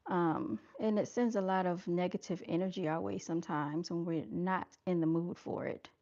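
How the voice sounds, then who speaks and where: A soft-spoken woman hosting a casual livestream, chatting to her viewers and calling them y'all. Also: lowish voice, fairly even intonation, normal speed, highs cut off. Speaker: livestream host. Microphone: camera mic on a livestream.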